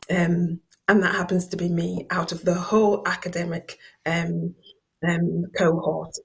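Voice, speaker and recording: A woman's voice: fairly low pitch, gravelly, husky. A middle-aged woman from Yorkshire with a Yorkshire accent gives an academic lecture, often repeating words as she searches for the next phrase. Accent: Yorkshire English. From Yorkshire, England. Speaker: professor giving a guest lecture.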